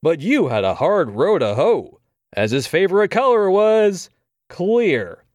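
A man playing a villainous game show host in an audio drama, with drawn-out, teasing showman patter. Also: high voice, big pitch swings, darkish tone, no echo. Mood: gleeful, teasing. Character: showboating male villain game show host, toying with captives.

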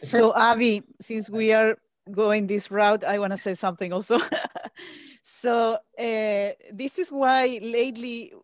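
A woman's voice: fairly high pitch, steady tone, a little slow, no echo. A woman in her fifties from Chile with a Chilean Spanish accent, hosting an interview over a video call and searching for her words with many fillers. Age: 50s.

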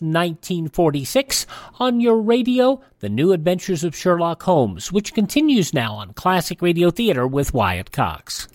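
A man's voice: on the high side, darkish tone, slight background noise. Male radio host, scripted delivery. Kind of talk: scripted news reading and programme presenting.

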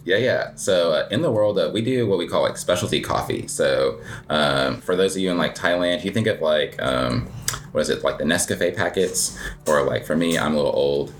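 A man with an American accent and a low-pitched voice talks as a guest in a casual interview. He explains things informally and loosely, wandering through his points with plenty of "like" along the way. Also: moderate pace. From United States. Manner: rambling, informal, peppered with "like". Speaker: interview guest, coffee shop owner. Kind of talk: casual conversational interview answers.

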